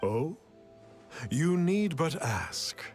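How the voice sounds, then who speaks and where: A lofty male immortal sage from a video game speaks slowly and pompously in archaic riddles. His pitch moves theatrically up and down, and faint music sits behind him. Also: very animated, exaggerated voice. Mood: serene, grandiose. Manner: archaic, pompous, cryptic phrasing. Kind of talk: video game character acting.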